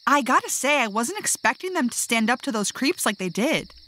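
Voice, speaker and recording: A sassy young witch, played by a woman, blunt and teasing in an audio drama. Her voice is high and bright, swinging widely in pitch. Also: big pitch swings, brisk, exaggerated voice. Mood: impressed.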